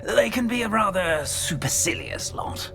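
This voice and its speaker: Fussy, bright-voiced British gentleman ghost. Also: high voice, animated, unhurried, faint music behind. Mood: hedging, reassuring. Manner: flustered, courteous, formal, hemming. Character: genteel, fussy, hesitant male nobleman ghost. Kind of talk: video game character acting.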